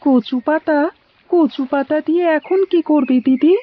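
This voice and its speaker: High-voiced eager little boy played by a woman. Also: measured pace. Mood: puzzled. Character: eager, obedient little village boy, the younger brother. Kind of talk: cartoon voice acting. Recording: dull lo-fi audio with music.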